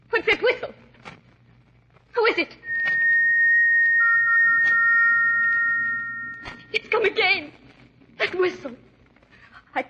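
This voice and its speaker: A terrified young woman in a 1940s radio drama. Her voice is very high, breathless and pleading, with anxious repetition. The old recording is dull and clearly noisy. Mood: alarmed, urgent.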